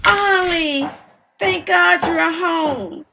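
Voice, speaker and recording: Woman acting Shelby, a frightened wife, pitched up high. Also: creaky, noisy background, exaggerated voice. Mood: alarmed, relieved. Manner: anxious, emphatic, halting when scared. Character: Shelby, frightened young wife alone at home.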